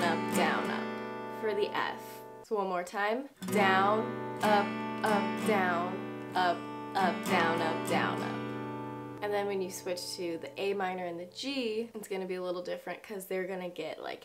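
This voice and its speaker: A young woman in a small bedroom, chatting in an upbeat, bubbly way as she teaches a guitar song. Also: mid-range voice. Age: young adult. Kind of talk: casual guitar tutorial.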